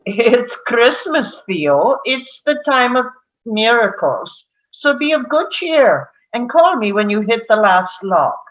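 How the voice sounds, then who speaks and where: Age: adult. Role: a woman reading a suave male terrorist leader. Style: table read. Pace: slow. Pitch: swooping, lively. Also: muffled recording. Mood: calm, commanding.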